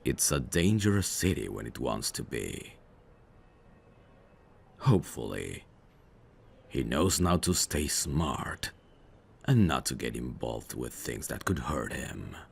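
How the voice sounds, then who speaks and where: A man playing a suave, teasing mafia boss in an ASMR audio roleplay, flirtatious with a faint edge of menace and an unhurried manner. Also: a bass voice, big pitch swings, creaky, a little hiss or hum, exaggerated voice. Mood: smooth, casual.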